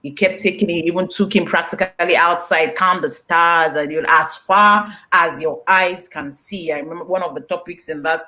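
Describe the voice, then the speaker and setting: Animated woman, Yoruba native, interviewee. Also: on the low side, moderate pace, hardly any pauses. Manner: animated, laughing, repetitive fillers. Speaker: author being interviewed. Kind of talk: book launch interview.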